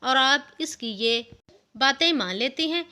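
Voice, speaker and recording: A woman's voice: high-pitched, lively intonation, a little slow, pushed and bright, clean tone, heavily acted. A woman voicing a curious, probing female journalist who asks incredulous questions in a narrated story. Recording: music under the voice.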